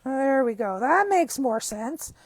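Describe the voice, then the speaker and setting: A hoarse woman with a croaky voice, chatting upbeat through a card-making tutorial step by step. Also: sits high, expressive, slow, no echo. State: hoarse, losing her voice. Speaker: craft demonstrator.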